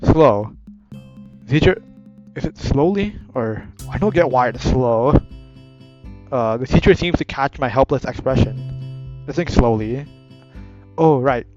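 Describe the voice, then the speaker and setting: A man's voice: mid-range voice, expressive, a little slow, darkish tone. Casual man reading a visual novel aloud. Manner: self-correcting, chatty asides. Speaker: gaming video creator. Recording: dull sound with guitar music.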